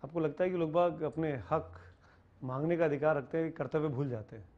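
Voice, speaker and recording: A man's voice: a little high, brisk, dull audio. An Indian man working as a television interviewer, putting short, probing questions to a guest.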